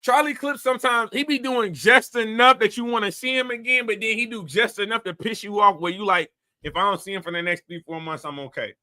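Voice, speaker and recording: Male host, high bright voice, blunt rambling commentary. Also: brisk. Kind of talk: casual commentary.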